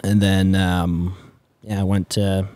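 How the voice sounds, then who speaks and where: A man in his twenties from the Netherlands, a native Dutch speaker, chatting casually and haltingly as a podcast guest. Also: low voice, little pitch movement, warm tone. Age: 20s. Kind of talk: casual conversational storytelling. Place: indoors, garage-like studio. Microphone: close podcast mic on boom arm.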